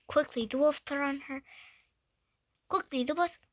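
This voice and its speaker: A woman's voice: sits high, unhurried, fairly bright tone, a little hiss or hum. A young girl reading a picture book aloud, stumbling over words and correcting herself, with playful asides. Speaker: storybook reader.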